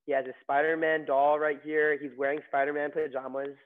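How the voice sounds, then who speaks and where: Young male guest from New York, casual storyteller; narrow pitch, lo-fi phone-quality audio. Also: level tone, brisk. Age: young adult. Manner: chatty, many fillers and restarts. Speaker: nursing graduate guest. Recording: tinny phone-like line.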